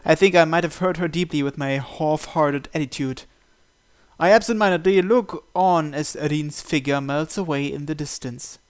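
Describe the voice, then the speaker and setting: A person reading a visual novel aloud as a gamer, narration and dialogue alike, with game music behind. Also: female voice, low voice, moderate pace.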